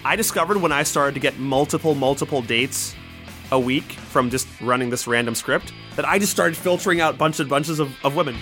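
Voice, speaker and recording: A man's voice: medium pitch, brisk, over music. A man in an interview clip, explaining in a matter-of-fact way. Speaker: computer programmer interviewee.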